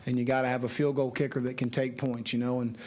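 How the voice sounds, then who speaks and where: A man's voice: mid-range voice, level tone, fast, a little mellow. A man speaking as a football coach in unscripted press remarks, repetitive and emphatic.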